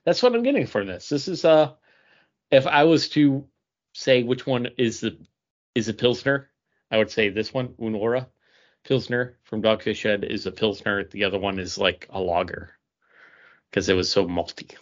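A man's voice: lowish voice, normal speed. A man co-hosting a podcast, chatting casually through reviews and often stopping to search for words. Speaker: podcast co-host. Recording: dull lo-fi sound.

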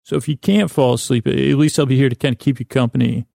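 A man with a creaky voice hosting a podcast, delivering a meandering, halting, self-deprecating bedtime monologue full of digressions and pauses. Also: mid-range voice, fairly even intonation, mellow, no room sound, studio quality. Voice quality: creaky, gravelly. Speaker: podcast host.

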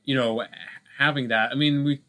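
A man with a mid-pitched voice in casual conversation as a podcast co-host. He circles around his point, restarting phrases and hesitating as he thinks out loud. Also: fairly even intonation, fairly quick, slight background noise. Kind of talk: casual film discussion.

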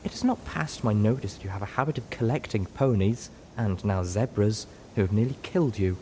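A man voicing a refined female unicorn with a prim, measured and cutting way of talking, in an audiobook. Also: on the low side, mellow, slight background noise, natural voice. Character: refined female unicorn, sharp-tongued then kind.

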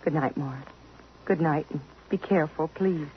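A woman's voice: low-pitched, normal speed, natural voice. An actress playing an anxious, guilt-ridden, refined young woman in a 1950s radio drama, speaking earnestly. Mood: worried, tender. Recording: muffled, faintly noisy 1950s radio broadcast.

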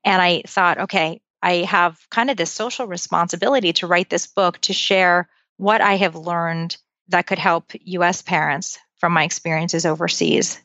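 A woman's voice: on the low side, fairly even intonation, moderate pace, hardly any pauses, fairly bright tone, no room sound. A woman in her forties, a guest author, answering interview questions with methodical, date-by-date storytelling. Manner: methodical, dates-driven storytelling. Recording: dull lo-fi audio.

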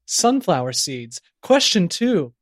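A man's voice: high voice, very animated, takes it slowly, no echo, studio quality. A man hosting a comedy podcast, reading playful scripted narration and ads. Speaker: podcast host.

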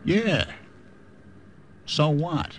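An actor playing a blunt, sardonic, nervy American soldier sidekick in a 1950s radio drama. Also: male voice, fairly high pitch, expressive, extremely slow. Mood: uneasy. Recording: noisy old radio recording.

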